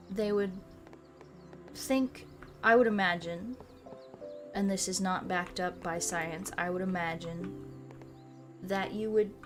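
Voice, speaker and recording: Woman, mid-pitched voice, casual stream chat, enthusiastic rambling, loose profane phrasing, background music. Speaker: video game streamer.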